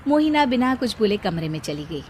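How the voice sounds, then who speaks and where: A woman's voice: mid-range voice, big pitch swings, brisk, a little mellow, slight background noise. A woman narrating a scripted moral story as its storyteller.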